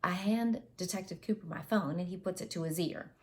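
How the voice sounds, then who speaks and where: A woman's voice: on the low side, brisk, a little mellow. Woman reading a novel aloud at home. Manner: reads aloud with character voices and retakes. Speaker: audiobook reader. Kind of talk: first-person novel reading. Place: home office with bookshelves. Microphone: wireless earbuds.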